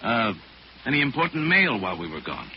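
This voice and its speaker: An actor playing a calm, authoritative crime-fighting detective in 1940s radio style, with measured, formal, explanatory delivery. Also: male voice, medium pitch, natural voice. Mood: composed, thoughtful. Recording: noisy, muffled 1940s radio recording.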